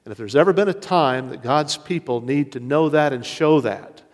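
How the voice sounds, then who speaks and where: A man preaching a sermon as pastor, earnest, slowing down to stress key words. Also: fairly high pitch. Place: church sanctuary. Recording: long reverb of a large hall.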